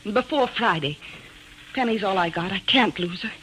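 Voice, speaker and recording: Actress as anguished young mother, mid-pitched, pleading, vintage radio acting, faint noise. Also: moderate pace, fairly bright tone, lightly acted. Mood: desperate. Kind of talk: 1940s-50s radio acting. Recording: old noisy radio recording.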